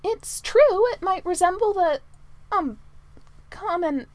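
A woman's voice: extremely high pitch, expressive, takes it slowly, dry recording, voice pushed up, exaggerated voice. A woman voicing a flustered, hapless young manservant in a storybook, blurting and hesitant.

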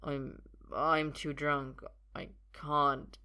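A woman voicing a drunk, drugged young man in an audiobook, confused and halting, with slurred words. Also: low-pitched, little pitch movement, vocal fry, sharp tone, exaggerated voice. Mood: slurring, fearful. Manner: halting, stammering.

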